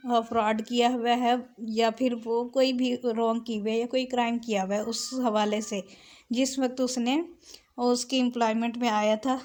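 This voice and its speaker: A woman lecturer explaining step by step, reading out clauses deliberately and switching between Urdu-Hindi and English. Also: a little high, flat delivery, a little mellow. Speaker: law lecturer.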